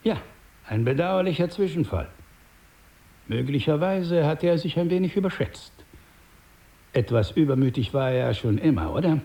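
An actor playing a paternal but menacing older crime boss in a radio drama, speaking in a measured, condescending, smooth-talking way. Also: male voice, fairly high pitch, expressive, dark tone. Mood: quietly threatening.

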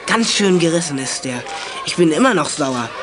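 High-pitched eager teenage boy detective played by a male. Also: animated, moderate pace, a crowd in the background, background noise, natural voice. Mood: casual. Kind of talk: German children's audio drama acting.

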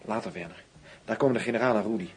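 An actor playing a discreet, concerned colleague of an undercover agent in a radio drama, speaking in a subdued, hesitant manner. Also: male voice, fairly low pitch, racing, soft background music, lightly acted.

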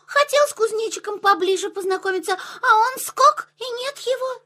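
An actress playing a small, curious bluebell flower in a children's audio play, eager and childlike. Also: female voice, very high voice, moderate pace, sharp tone.

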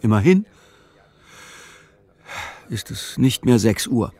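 A man narrating a literary audio drama in measured, clipped, matter-of-fact phrasing. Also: mid-range voice, very animated, a little mellow, breathy edge. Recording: music behind the voice. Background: music.

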